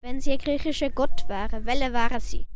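A high-voiced young reporter asking prepared questions in a street interview. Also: fairly even intonation, fairly quick. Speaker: child reporter interviewing passers-by. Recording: faint background noise.

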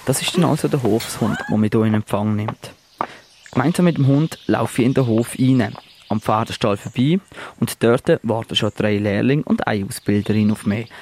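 A man's voice: medium pitch, a little mellow, slight background noise. A man narrating a first-person radio report in a descriptive, reflective manner. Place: outdoors on a farm. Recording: clear outdoor birdsong behind.

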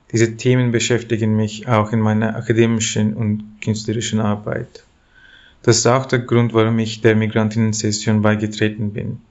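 A man from Turkey, reading a personal statement in a measured way. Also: fairly low pitch, dull audio. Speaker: community member.

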